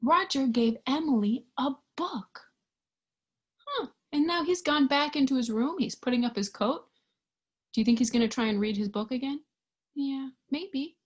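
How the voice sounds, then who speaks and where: A woman reading a picture book aloud to young children, expressively, pausing to put questions to her listeners. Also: high voice, breathy edge. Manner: expressive, animated, with hushed asides and questions to listeners. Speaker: storybook reader.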